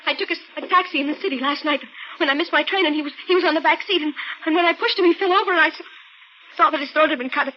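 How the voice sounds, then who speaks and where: An actress playing a shaky, frightened young woman in a 1940s radio drama, with halting, stammering delivery. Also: sits high, slight background noise, natural voice. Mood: insistent, distraught. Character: frightened, shaky young woman insisting she saw a corpse. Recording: muffled old radio broadcast with music.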